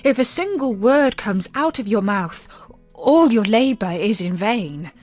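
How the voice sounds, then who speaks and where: Grave young brothers under a spell, voiced by a woman, speak with solemn emphasis. The storybook delivery is slow, with music behind it. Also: on the high side, animated, darkish tone. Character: grave enchanted young brothers warning their little sister. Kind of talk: storybook character voice.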